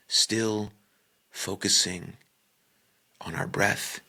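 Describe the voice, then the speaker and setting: A man leading a guided meditation in a calm, deliberate way, with a breathy, husky voice and long pauses between short phrases. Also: fairly low pitch.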